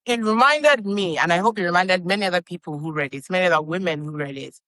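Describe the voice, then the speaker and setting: A woman with a fairly low voice speaks as a podcast guest. She is articulate and opinionated and lays out her points clearly. The recording is dry and close. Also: fast talker, fairly bright tone, no room sound. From Nigeria. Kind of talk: casual conversation.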